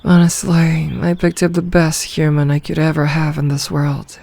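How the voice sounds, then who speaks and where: A woman playing a possessive, commanding female werewolf leader in an ASMR audio roleplay, with deliberate, formal phrasing. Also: low-pitched, monotone, creaky, dark tone, dry recording. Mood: tender, adoring.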